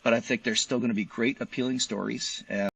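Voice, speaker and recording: A man's voice: mid-range voice, steady tone, brisk, vocal fry, a bit bright, breathy edge, dry recording, poor audio. A man speaking conversationally as an author and interview guest. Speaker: novelist guest.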